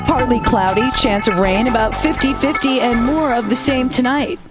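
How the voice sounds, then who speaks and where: A woman's voice: on the high side, moderate pace, loud music behind the voice, lightly acted. A woman playing a breezy female rock radio DJ, reading scripted station lines with a wry, playful announcer delivery.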